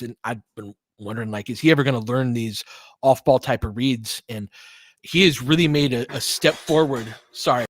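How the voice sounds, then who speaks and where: Guy doing live hoops commentary, slangy and chatty, gets into the tactics, medium pitch, dry sound no room. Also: dry recording. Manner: conversational, slangy, explains tactics in detail. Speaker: play-by-play announcer and analyst. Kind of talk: live basketball commentary, informal and analytical.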